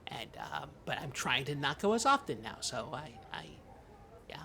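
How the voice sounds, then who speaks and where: A podcast host chatting in an improvised comedy, halting and stammering like a nervous, gullible, childlike character. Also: mid-range voice, huge pitch swings, bright, forceful tone, a little hiss or hum.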